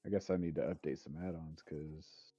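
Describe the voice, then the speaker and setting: A man's voice: very deep voice, fairly even intonation, normal speed, dark tone. A man hosting a gaming stream, chatting casually in a laid-back, matter-of-fact way. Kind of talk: casual gamer chat. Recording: dull lo-fi voice with faint music.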